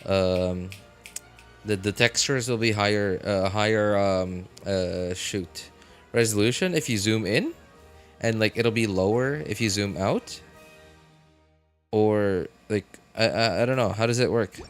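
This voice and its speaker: A man with a fairly low voice chats casually on a gaming live stream. He talks slowly and haltingly, thinking out loud, with music behind him.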